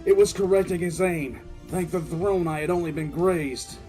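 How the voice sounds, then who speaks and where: A man's voice: high-pitched, mellow, natural voice. Man playing an intense war-hardened commissar, music behind. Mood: intense, fierce. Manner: intense, theatrical, drawn-out emphatic delivery. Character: battle-hardened male military commissar recounting a bloody ambush with relish. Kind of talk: dramatic first-person audio drama narration.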